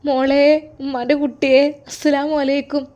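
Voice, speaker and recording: A woman's voice: high voice, flat delivery, normal speed, a little mellow, voice pushed up, close to an everyday voice. A woman voicing a tender, worried mother in a story, with a Kerala Malayalam accent. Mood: tender, soothing. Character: tender, worried village mother soothing her child. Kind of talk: storytelling character voice.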